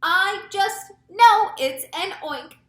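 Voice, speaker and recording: A hysterical male head of a fire brigade from a children's storybook, acted out by a woman. He shrieks in fright at a high pitch, drawing out his exclamations. The sound is bright and echoey. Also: animated, takes it slowly, full character voice. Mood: alarmed excitement.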